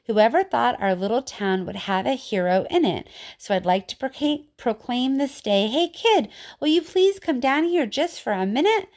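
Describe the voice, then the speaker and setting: A woman voicing an excited small-town mayor from a children's poem, calling out loudly as if making a proclamation. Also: fairly high pitch, normal speed. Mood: excited, proud.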